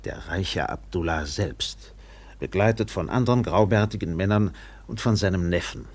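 An actor playing a steamship captain who tells a colonial tale expressively in a German radio play, his voice husky and gravelly. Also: deep voice. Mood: measured, reflective. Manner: expressive, measured literary storytelling with acted dialogue.

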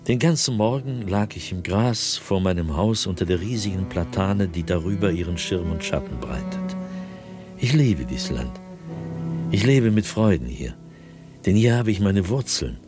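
An actor playing an anguished, haunted male writer in a radio drama, speaking his diary in a brooding, deliberate, husky manner with dramatic pauses. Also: deep voice, lively intonation, over music. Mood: wistful, contented.

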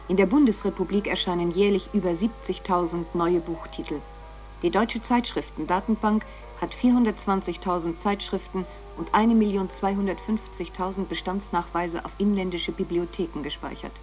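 A woman's voice: lowish voice, darkish tone, low-quality recording. A woman reading scripted factual narration in a formal style.

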